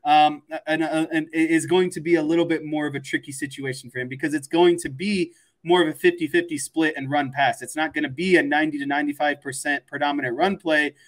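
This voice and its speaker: Male sports panelist, slightly high voice, conversational analysis with repeated words for emphasis. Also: steady tone, a little fast, few pauses. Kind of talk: casual sports commentary.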